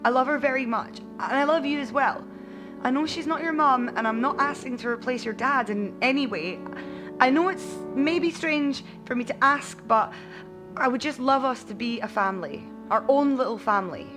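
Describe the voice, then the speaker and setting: A woman voicing a huge, kindly older male mentor with a calm, matter-of-fact manner in a bedtime story. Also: high voice, moderate pace, over music, natural voice. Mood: nervous, heartfelt.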